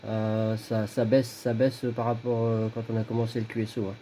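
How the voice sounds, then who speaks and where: Mellow man chatting on amateur radio. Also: on the low side, completely flat delivery, moderate pace. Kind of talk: casual radio contact chat, signal reports. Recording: faint background noise, radio contact.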